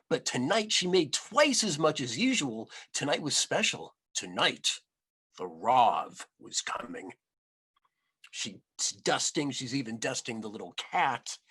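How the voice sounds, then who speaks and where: A man reading a children's storybook aloud as an expressive narrator. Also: on the high side, breathy edge.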